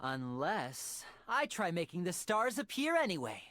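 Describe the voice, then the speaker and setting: A woman's voice: on the low side, sing-song, unhurried, a bit bright. A woman playing a charming young male stage magician from a video game, theatrical, coy and teasing. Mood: confiding. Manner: theatrical, coy, smooth-talking. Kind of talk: video game character voice lines.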